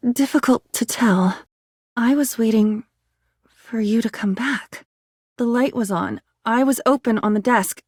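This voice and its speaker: A woman voicing a sentient diary in a video game, newly self-aware, wistful, curious and excitable, musing in a theatrical, halting way. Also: sits high, husky, dry recording, clean studio sound, exaggerated voice. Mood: wistful, hushed. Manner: theatrical, musing, halting, swinging from hushed to exclaiming.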